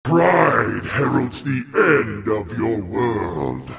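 An actor playing a huge, arrogant, wrathful male god with a gravelly growl in a fantasy video game. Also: lowish voice, animated, extremely slow, fairly bright tone, in a large hall, exaggerated voice. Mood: wrathful, grandiose.

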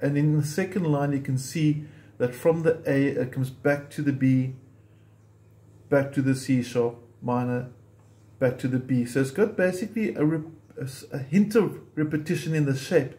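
A man's voice: mid-range voice, fairly even intonation, moderate pace, mellow. A man teaching ukulele chords step by step in a patient, methodical way, recorded in a home music room with the camera close on his instrument. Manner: patient, methodical, explains while playing.

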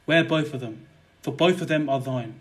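A smooth-tongued, murderous nobleman woos in old dramatic verse, acted by a young man. His voice sits a little high for a man, and he pleads and persuades with ardent flattery. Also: moderate pace, natural voice. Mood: tender flattery. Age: young adult. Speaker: student actor. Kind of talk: Jacobean and Shakespearean verse audio drama.

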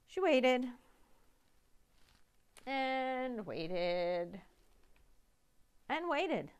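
A middle-aged woman reading a picture book aloud to children, with dramatic, deliberate phrasing. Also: sits high, big pitch swings, smooth. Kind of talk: expressive storytime read-aloud.

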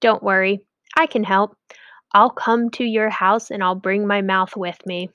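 A woman's voice: medium pitch, steady tone, a little slow, fairly bright tone, clean tone, no echo, close to an everyday voice. A woman voicing a confident, sage village rabbi from a storybook, pronouncing advice with assurance.

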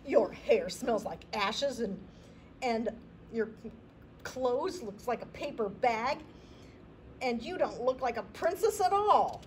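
A woman's voice: fairly high pitch, animated, slow pace, a little hiss or hum, pitched above the performer's own voice. A woman voicing a snobbish, ungrateful young storybook prince in a disdainful, emphatic way.

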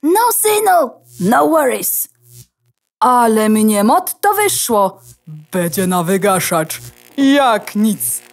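A woman's voice: fairly high pitch, big pitch swings, slow pace, gravelly, soft background music, heavily acted. A woman playing a cheeky, romantic, risk-loving loose computer contact in a children's audio drama, slangy and theatrical. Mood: breezy.

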